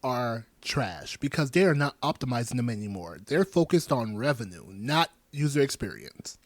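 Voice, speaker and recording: A man in his thirties hosting a podcast, chatting casually with animated, joking asides. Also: mid-range voice, normal speed, dry recording. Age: 30s. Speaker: podcast host.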